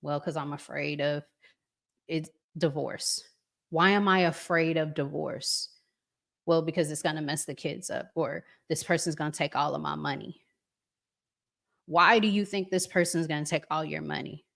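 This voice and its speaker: An American woman hosting a relationship talk show, chatting to viewers and giving advice in an earnest, emphatic, deliberate way with pauses. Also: low-pitched, steady tone, creaky, fairly bright tone. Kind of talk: live chat to viewers, reading comments, giving advice.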